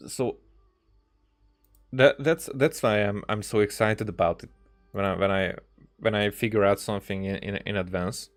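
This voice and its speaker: A man's voice: fairly low pitch, moderate pace, pauses often, faint music behind. A man giving casual commentary as he plays a video game, rambling and often searching for his words. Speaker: streamer playing a video game.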